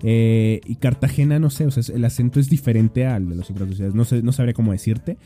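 A Mexican man co-hosts a podcast, quick to laugh and agree and easy with the slang. His voice sits at a middle pitch with a very dark, mellow sound, and soft music plays faintly underneath. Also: steady tone. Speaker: podcast co-host. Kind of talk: casual podcast chat.

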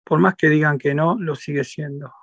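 An Argentine man with an Argentine Spanish accent, answering interview questions in a measured, emphatic way and repeating phrases as he thinks. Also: a little high, fairly even intonation, creaky, a bit bright, dry recording. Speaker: interview guest. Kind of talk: reflective interview talk. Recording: dull lo-fi dry sound.

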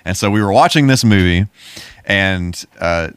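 Male podcast co-host, deep-voiced, relaxed and conversational, dry studio-like sound. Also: normal speed, clear, resonant tone, dry recording. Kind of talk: casual chat about movies.

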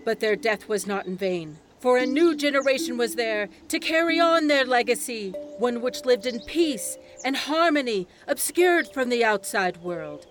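Old, wise orator, played by an actor, ceremonial and measured, reciting myth over music in an audio drama. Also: medium pitch, lively intonation, a bit bright, lightly acted. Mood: solemn, reverent. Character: old wise village orator telling sacred origin myth. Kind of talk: solemn theatrical storytelling in audio drama.

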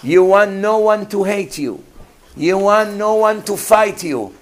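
Guy preaching, slightly high voice, keeps firing questions at the crowd. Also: expressive, slow. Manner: emphatic, rhetorical questions to the audience. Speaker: rabbi giving a talk. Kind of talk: sermon.

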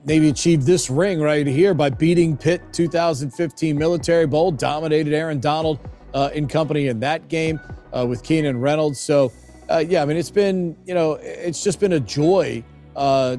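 A man's voice: on the high side, dark tone. An American man from Maryland, a sports broadcaster giving conversational analysis as an interview guest. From Maryland, United States.

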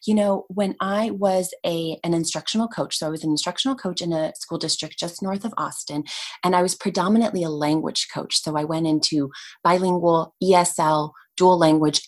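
A woman whose first language is Hungarian speaks as a podcast guest, explaining her work in language education. Her voice sits a little low for a woman, and she talks with enthusiasm and clear, well-organized phrasing. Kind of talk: conversational podcast interview.

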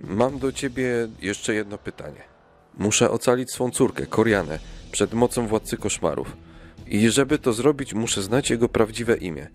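Male actor as noble fatherly king, low pitch, earnest, measured, kindly, children's audio drama. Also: expressive, soft background music, natural voice. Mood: earnest, pleading. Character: brave, kindly king, devoted father, wandering knight. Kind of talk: children's radio play acting.

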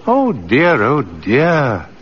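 An actor playing a kindly, genial old Santa Claus in a 1940s radio drama, unhurried and gently probing. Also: male voice, medium pitch, wildly swooping pitch, heavily acted. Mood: amused, needling. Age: older. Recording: muffled, hissy old radio recording.

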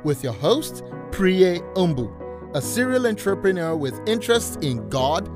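Woman, podcast intro announcer, slow and sing-song, clear music bed under her. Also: fairly low pitch, big pitch swings.

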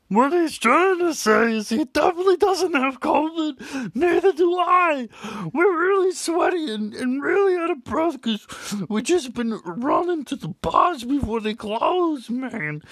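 A woman's voice: high-pitched, dry recording, pitched above the performer's own voice. A woman voicing a sweaty, out-of-breath man who nervously insists he is healthy, coughing between words. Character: sweaty breathless male partygoer, defensive.

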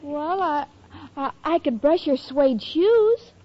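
A woman's voice: sits high, animated, slow. A woman playing a sweet, naive, guileless young woman, eager to help, in 1940s radio sitcom style on a muffled old broadcast. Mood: eager, pleading. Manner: guileless, earnest, dim-witted delivery.

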